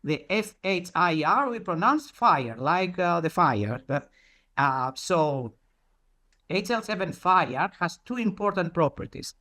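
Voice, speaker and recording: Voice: mid-range voice, expressive, takes it slowly, pushed and bright. A presenter giving an explanatory talk in a didactic way, posing questions and then answering them.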